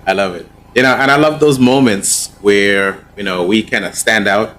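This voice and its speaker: A man's voice: fairly low pitch, moderate pace, fairly bright tone. A man moderating a virtual panel, affirming and conversational on a video call.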